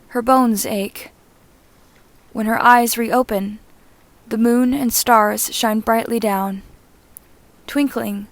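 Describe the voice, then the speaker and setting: A woman with a fairly high voice narrates a piece of fan fiction. She reads very slowly and deliberately, setting out each short phrase in a measured, scripted way. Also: no echo. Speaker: amateur audiobook narrator.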